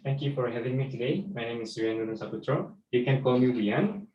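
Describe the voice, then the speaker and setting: A man's voice: a little fast, highs cut off. A young man from Indonesia, a backend developer answering interview questions with many hums and fillers. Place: office room. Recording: echoey room, distant mic, faint rumble.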